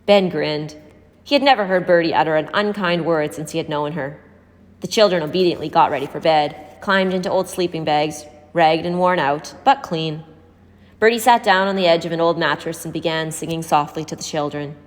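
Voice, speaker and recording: A woman's voice: low-pitched, moderate pace. A woman reading a scripted story aloud as an audiobook narrator. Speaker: audiobook reader. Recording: echoey hall-like reverb.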